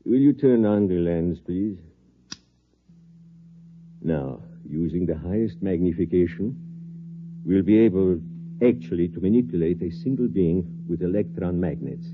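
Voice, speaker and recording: An actor playing an assured, intense doctor of science in 1950s radio drama style, speaking formally and deliberately with a husky voice. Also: male voice, low-pitched, expressive, a little hiss or hum. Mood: earnest, persuasive. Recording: dull lo-fi 1950s radio recording.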